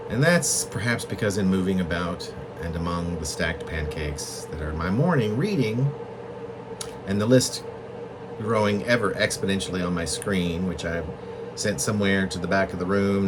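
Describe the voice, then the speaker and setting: A man reading his own literary poem as a reflective monologue, in halting, deliberate phrases with pauses mid-thought. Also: sits low, background noise. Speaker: poet reading own work.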